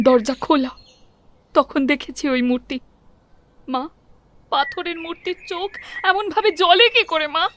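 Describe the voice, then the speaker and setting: Brief: a scared young mother in an animated horror story, very high voice, pleading and breathless. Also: slight background noise. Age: young adult. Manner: pleading, breathless cries for help. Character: terrified young mother guarding her baby.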